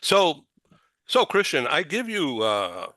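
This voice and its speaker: An older man hosting a casual panel chat, halting, putting questions to the guests. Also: on the high side, wildly swooping pitch, pushed and bright, dry recording, studio quality. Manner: slow, halting, questions with rising lift. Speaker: talk show host.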